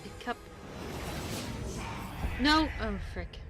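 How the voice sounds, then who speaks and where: A woman's voice: fairly high pitch, lively intonation, extremely slow. A woman giving casual live commentary as she streams a game. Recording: game music behind voice. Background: game music.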